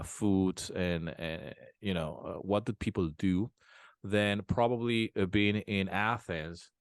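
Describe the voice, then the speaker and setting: A man's voice: low-pitched, dry recording. A man as an interview guest, talking casually at home with many restarts and fillers. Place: home in a small town.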